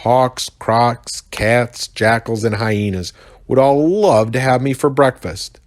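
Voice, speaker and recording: A man voicing a tiny, polite female bush baby in a children's story, earnest and gently instructive. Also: mid-range voice, slow, dry recording, clean studio sound, pitched above the performer's own voice, close to an everyday voice. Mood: wistful, earnest.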